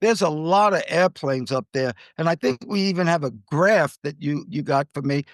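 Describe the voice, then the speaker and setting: Man, American accent, very high voice for a male, dry close sound, podcast host, conversational news talk. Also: normal speed, dry recording.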